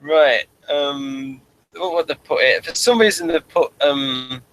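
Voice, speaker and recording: A man's voice: a little high, slow pace, fairly bright tone, slight background noise, rough recording. A man hosting a casual review chat, rambling and repeating phrases as he thinks, sometimes reading from notes. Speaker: review show host.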